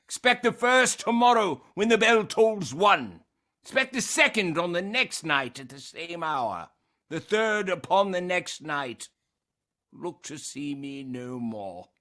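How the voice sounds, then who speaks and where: A man playing the mournful, anguished ghost of a dead business partner in a radio play, speaking in solemn, drawn-out declamation. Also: high-pitched, animated, pushed and bright, full character voice. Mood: grave warning. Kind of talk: theatrical radio play acting.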